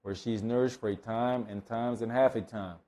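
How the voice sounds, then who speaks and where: Male scripture reader, mid-pitched, soft-edged dark tone, reading verses aloud for a Bible study. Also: fairly even intonation, brisk.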